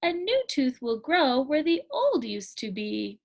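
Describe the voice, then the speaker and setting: A woman doing a squeaky storybook mouse. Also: big pitch swings, a little slow, vocal fry, full character voice. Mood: reassuring. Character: small reassuring storybook mouse.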